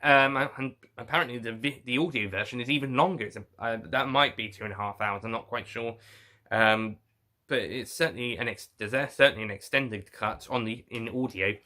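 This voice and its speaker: Guy doing an off the cuff review, really bright forward voice, kinda rambly, keeps rewording stuff. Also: mid-range voice. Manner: halting, rambling, often rephrases himself. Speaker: review show host. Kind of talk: unscripted video review, casual talk to viewers.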